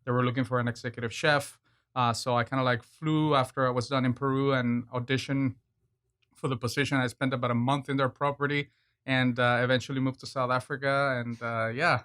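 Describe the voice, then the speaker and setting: A man in his thirties from Venezuela, a guest chef chatting casually in an interview, relaxed and laughing often between fillers. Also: mid-range voice, brisk.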